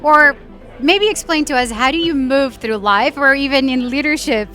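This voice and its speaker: A woman's voice: sits high, moderate pace, bright, forceful tone, faint music behind. A woman hosting a casual interview at an event, speaking in an appreciative and encouraging way to her guest. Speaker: interviewer, host.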